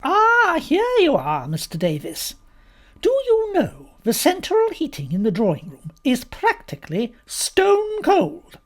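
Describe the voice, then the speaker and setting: A woman voicing a haughty older female hotel guest who complains indignantly with heavy, drawn-out emphasis. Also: high voice, huge pitch swings, pitched above the performer's own voice, heavily acted.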